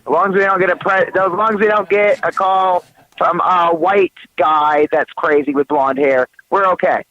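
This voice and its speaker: A woman co-host calling in by phone, telling a tall tale in a wry, deadpan way with lots of fillers. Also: on the low side, moderate pace, dry recording. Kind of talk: casual joking radio chat. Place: family vacation home, by phone. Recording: muffled phone-call audio.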